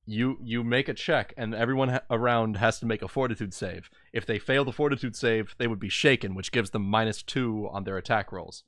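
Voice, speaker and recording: A man's voice: fairly low pitch, brisk, hardly any pauses, fairly bright tone. A man chatting casually through a tabletop role-playing game, often laughing as he narrates.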